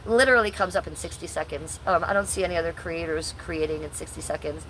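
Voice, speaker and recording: A woman's voice: low voice, fairly even intonation, fast talker, a bit bright, a little hiss or hum, rough recording. A middle-aged woman from Ohio, talking bluntly and sarcastically to her chat on a livestream, swearing freely. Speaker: livestream host.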